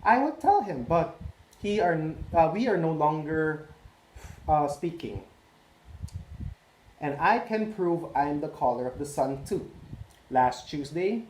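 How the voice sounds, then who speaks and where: Guy doing a bragging yellow crayon, bouncy pitch, faint hiss behind. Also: sing-song, measured pace. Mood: boastful. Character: bossy yellow crayon, boastful. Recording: echoey room, faint noise.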